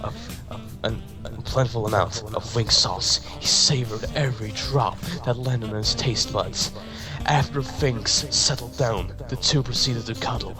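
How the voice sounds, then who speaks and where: A man's voice: medium pitch, unhurried, few pauses, rough recording. A man giving a dramatic reading of fan fiction, sometimes stumbling and repeating words. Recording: music behind the voice. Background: music.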